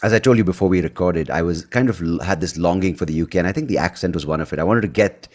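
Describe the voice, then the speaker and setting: A man's voice: low voice, fast, darkish tone. A man hosting a podcast, talking casually and off the cuff in a rambling, hesitant way as he thinks aloud. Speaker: podcast host. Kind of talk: casual, unscripted podcast monologue and chat.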